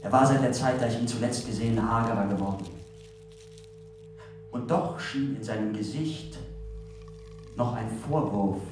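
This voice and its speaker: A man playing a solemn narrator in a radio play, reflecting on death with a measured, literary delivery. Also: medium pitch, close to an everyday voice. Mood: solemn, haunted. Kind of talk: literary narration and recitation in an audio drama. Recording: very echoey, distant, music behind.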